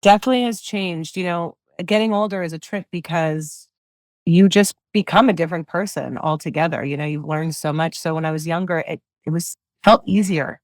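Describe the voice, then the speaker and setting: Woman, middle-aged, low voice, rambling, casual interview talk, dry sound. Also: a little fast, dry recording. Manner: loose, rambling, many fillers and restarts. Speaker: singer-songwriter guest.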